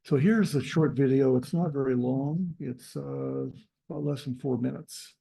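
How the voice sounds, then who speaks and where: A man's voice: mid-range voice, measured pace, gravelly, mellow, rough recording. A man presenting to his carving club over a video call, talking loosely with many fillers and restarts.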